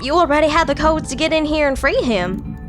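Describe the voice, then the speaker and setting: An actress plays a sassy young heroine with a wry, teasing edge. Her voice sits fairly high. Soft music plays behind her. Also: expressive, a little fast. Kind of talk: audio drama acting.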